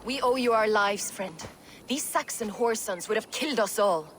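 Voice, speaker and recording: A woman's voice: medium pitch, animated, normal speed, slight background noise, natural voice. A woman playing a proud, embittered Viking warrior chief in a video game. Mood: grateful, fierce. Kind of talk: cinematic video game dialogue acting.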